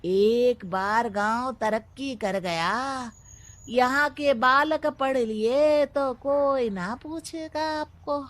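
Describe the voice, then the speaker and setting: A woman's voice: on the high side, extremely slow. A woman voicing a cunning male village advisor in a cartoon story, talking in a sly, chuckling way.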